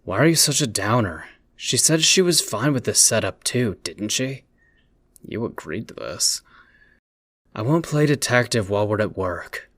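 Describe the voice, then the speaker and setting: A man's voice: lowish voice, expressive, measured pace, a bit bright, no room sound. Man acting as sarcastic anime teen boy, swinging intonation, teasing, prying, very dry recording. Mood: blunt. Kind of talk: anime fan dub acting.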